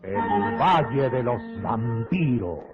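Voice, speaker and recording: A man's voice: medium pitch, animated, slow. A man announcing the cast of a radio serial in a dramatic old-fashioned radio style. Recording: dull vintage radio, loud music behind.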